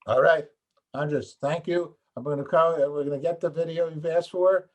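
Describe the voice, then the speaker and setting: A man interviewing a guest in a casual phone chat, rambling and joking as he thinks out loud. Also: fairly high pitch, steady tone, moderate pace. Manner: chatty, rambling, jokey. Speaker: interviewer, host of peace concert show.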